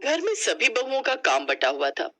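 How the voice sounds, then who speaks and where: A woman's voice: fairly high pitch, big pitch swings, normal speed, a bit bright. A woman storyteller narrating a scripted family tale.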